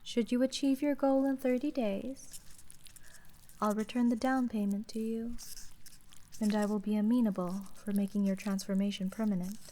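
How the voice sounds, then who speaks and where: Aloof sea witch for fantasy audio roleplay, played by a woman: very slow, soft dark-toned voice, businesslike and deadpan. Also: mid-range voice, natural voice. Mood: cool, instructive. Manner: monotone, measured, coolly formal. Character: aloof, businesslike female sea witch, dry-witted deal maker. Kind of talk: slow roleplay ASMR, scripted fantasy monologue.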